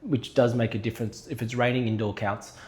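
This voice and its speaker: A man co-hosting a health podcast. He is restless and talks in casual chat, jumping in quickly with examples. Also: mid-range voice, steady tone, a little mellow. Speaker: podcast co-host and manual therapy practitioner.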